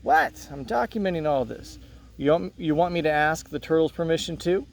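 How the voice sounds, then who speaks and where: A man reading a play aloud, voicing an outspoken, eager eighth-grade girl in an animated, insistent way. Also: a little high, normal speed, vocal fry, recorded from a distance, voice pushed up. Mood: defensive.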